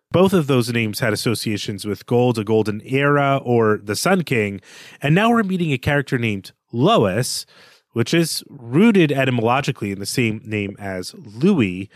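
A man's voice: medium pitch, no room sound, studio quality. A man co-hosting a literary podcast, reading a passage aloud and then thinking through the names in it. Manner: reads aloud from the novel, then muses over names. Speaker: podcast co-host.

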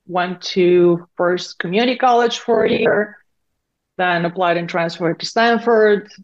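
A woman in her fifties, a native Bulgarian speaker, talks in a fairly low voice. She recounts things conversationally, as a guest in an interview. The sound is a little dull and lo-fi. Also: unhurried. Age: 50s. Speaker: interview guest, tech founder and CEO.

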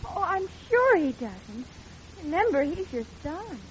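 Very high-voiced young daughter, noisy radio. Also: female voice, sing-song, measured pace, full character voice. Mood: soothing. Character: gentle, reassuring young daughter. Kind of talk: 1940s radio comedy acting. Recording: dull, noisy old radio recording.

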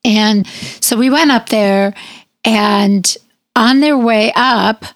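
A middle-aged woman with a raspy, hoarse voice, co-hosting a podcast and telling a long story in a chatty way. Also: a little high, slow, no echo. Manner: chatty storyteller, fillers like "like" and "you know". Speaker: podcast co-host. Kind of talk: casual chat.

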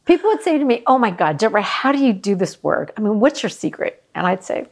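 A woman who works as a hospital chaplain, telling candid stories in an interview with a joking, animated manner. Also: medium pitch, quick pace, gravelly. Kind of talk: storytelling interview.

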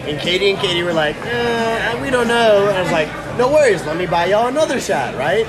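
Joking higher-voiced man over crowd noise. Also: expressive, fairly quick. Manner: playful, joking, steering the talk. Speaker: podcast host. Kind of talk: casual group chat. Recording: loud crowd noise around.

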